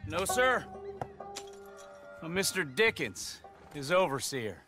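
An actor playing a brusque, suspicious ranch overseer in a western game, speaking curtly. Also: male voice, fairly high pitch, very animated, takes it slowly, sharp tone, faint music behind, full character voice. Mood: curt, formal. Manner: curt. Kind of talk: cinematic western game dialogue.

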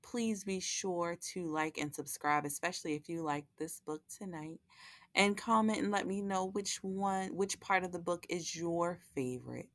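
A woman's voice: on the low side, moderate pace, dry recording. A woman reading a children's book aloud, with folksy, casual asides to her young listeners.

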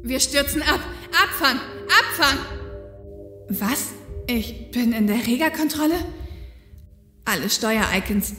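A woman's voice: high voice, fairly bright tone. A woman playing a tense police helicopter copilot in a German audio drama, with echo on the voice. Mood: alarmed. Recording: echoey sound with music behind.

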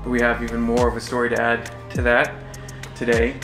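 An American man in his forties from Texas giving a casual, halting but enthusiastic product overview to camera. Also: medium pitch, monotone. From Texas, United States. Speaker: audio shop presenter. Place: small indoor room, standing behind display table. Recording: roomy echo, faint music.

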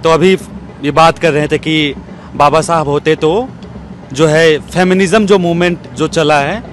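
Male interviewer, young, high-pitched, recorded outside with light street noise. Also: steady tone, a little slow. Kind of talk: on-location interview questioning. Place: outdoors on a bench in a courtyard. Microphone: handheld interview microphone. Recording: outdoor street noise, traffic behind.

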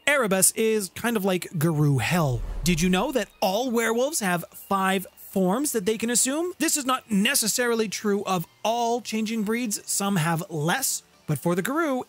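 A middle-aged man presenting a scripted explainer on game lore, with playful, theatrical emphasis, close on a studio mic. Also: high voice. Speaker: host of a tabletop game lore channel. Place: home room with guitars, indoors. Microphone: close studio condenser mic with pop filter. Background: background music.

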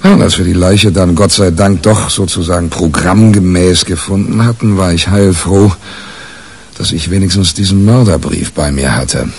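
Male narrator for crime radio play: cynical newsman, low and gravelly, wry and cocksure. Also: moderate pace, heavily acted. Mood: candid, wry. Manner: wry, colloquial, boastful. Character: cynical, self-assured male press reporter telling his story.

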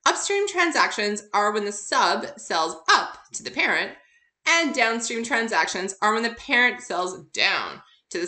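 A woman with a bright, forward voice delivers an instructional lecture in an informal way. She sounds upbeat and encouraging, speaking straight to her students as she explains. Her pitch sits in an ordinary middle range for a woman. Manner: upbeat, encouraging, addresses listeners with questions. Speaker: accounting instructor. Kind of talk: lecture narrated over slides, informal.

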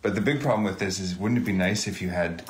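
Lower-voiced man in an echoey studio. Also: fast talker. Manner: teasing, gentle critique.

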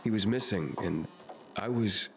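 A man's voice: fairly low pitch, measured pace. A man voicing a wry, sceptical young adventurer in a video game, with a casual, dry delivery. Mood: puzzled. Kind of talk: video game cutscene acting. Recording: clearly noisy game ambience behind.

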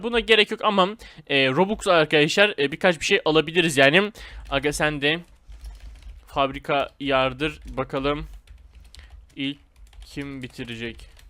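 Man, fairly high clear voice, excitable, slangy, casual gaming commentary. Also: no echo. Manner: informal slangy talk addressed to viewers, excitable. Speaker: gaming video host.

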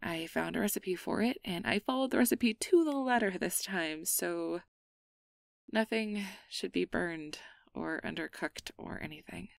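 A woman's voice: fairly low pitch, a little slow, creaky, no room sound, studio quality. A woman playing an awkward, guarded but secretly tender young changeling in an ASMR roleplay, hesitant and stammering as she downplays things. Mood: bashful.

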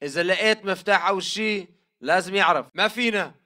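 An actor playing a ruthless militant fighter in a video game, speaking Syrian Arabic. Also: male voice, high-pitched, quick pace, bright, forceful tone. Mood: gruff, businesslike. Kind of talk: video game battle dialogue.

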